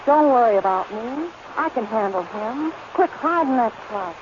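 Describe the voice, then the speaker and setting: A woman's voice: a little high, animated, moderate pace, natural voice. A woman playing a tough, flirtatious saloon singer with slangy, wisecracking drawl in a 1930s radio comedy. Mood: cool, bossy. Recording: muffled, noisy 1930s radio recording.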